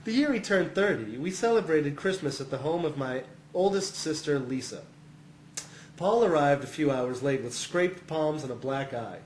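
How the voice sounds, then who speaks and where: A man with a somewhat high voice narrates a humorous essay. He reads scripted lines with open amusement, as if near a chuckle. The room is audibly echoey, with a light layer of noise. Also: animated, moderate pace. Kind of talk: scripted first-person comic narration.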